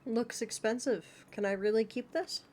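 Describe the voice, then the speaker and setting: A woman's voice: on the high side, normal speed, very noisy. A woman game streamer giving casual live commentary in short, offhand remarks.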